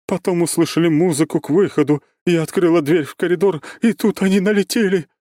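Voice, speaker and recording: A man's voice: high voice, brisk, warm tone, dry recording, studio quality, voice pushed up, close to an everyday voice. A man voicing a distraught, combative middle-aged mother of the bride in an audiobook, with breathless, emotional delivery. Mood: tearful, breathless. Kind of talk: audiobook character voice.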